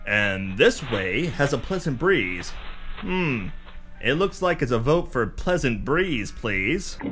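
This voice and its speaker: A man playing a cocky, melodramatic pulp action hero in a hardboiled parody audio drama. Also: mid-range voice. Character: cocky, brash pulp action hero narrating his adventure.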